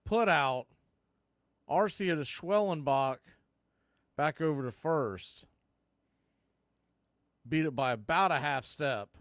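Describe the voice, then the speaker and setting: Man, baseball announcer, higher-pitched, bright forceful voice, dry close sound, punchy calls. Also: dry recording. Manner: punchy short phrases, excited bursts on big plays. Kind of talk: live play-by-play commentary.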